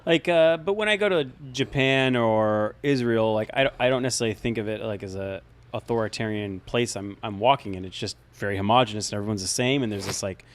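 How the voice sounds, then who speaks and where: A man's voice: fairly low pitch, brisk. Hesitant man thinking aloud on a podcast. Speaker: podcast co-host. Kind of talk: casual chat.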